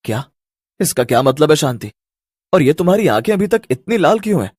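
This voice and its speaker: A man voicing a fretful, talkative husband in a cartoon, with a husky, expressive delivery. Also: fairly high pitch, a little fast, dry recording, studio quality. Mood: worried.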